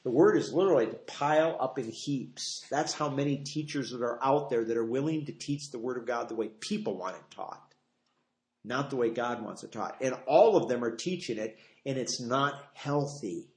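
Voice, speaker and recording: A man's voice: medium pitch, lively intonation, moderate pace. A man with an American accent teaching the Bible as a pastor, explaining conversationally and repeating phrases for emphasis. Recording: roomy, echoing live teaching space.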